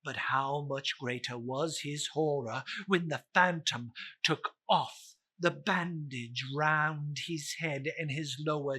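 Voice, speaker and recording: A woman narrating a scripted story as a dramatic reader, with a theatrical, deliberate delivery. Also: low-pitched, pushed and bright, husky.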